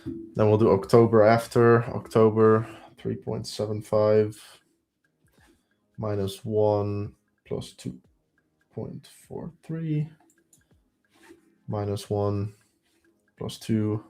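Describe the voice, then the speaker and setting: A man's voice: lowish voice, steady tone, slow pace, vocal fry, long pauses, mellow. A man with a heavy Belgian accent hosting a live trading stream, thinking aloud as he counts through trades and results.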